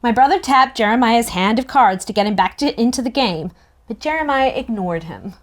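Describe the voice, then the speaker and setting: Lively, higher-voiced woman narrating a story. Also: normal speed. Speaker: narrator in a table read. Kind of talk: expressive first-person novel narration.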